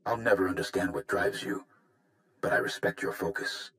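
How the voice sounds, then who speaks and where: An actor playing a gruff, loyal Mandalorian warrior advisor in a video game, measured and blunt. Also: male voice, sits low, expressive, a bit bright. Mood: wary respect. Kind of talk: video game cutscene acting.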